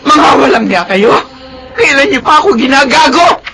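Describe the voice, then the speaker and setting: An actress playing a betrayed, anguished middle-aged wife in a Filipino radio drama, shrill and melodramatic. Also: female voice, sing-song, normal speed, fairly bright tone, exaggerated voice, poor audio. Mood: outraged, anguished.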